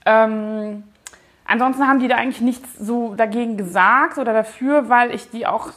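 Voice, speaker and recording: A woman's voice: on the high side, normal speed, fairly bright tone. A woman, giving casual, informal interview answers, often hedging and thinking aloud as she looks back. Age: 30s. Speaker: company founder and managing director, interview guest.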